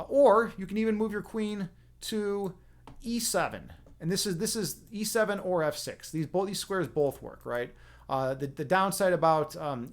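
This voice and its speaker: A man hosting a casual livestream, explaining chess lines as a teacher, rambling with fillers and frequent tag questions. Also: a little high.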